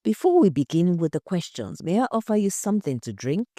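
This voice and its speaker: A woman voicing an expressive married hostess in an audio story. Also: fairly low pitch, fairly quick, no room sound. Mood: gracious. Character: gracious married hostess, grieving friend, defensive when questioned.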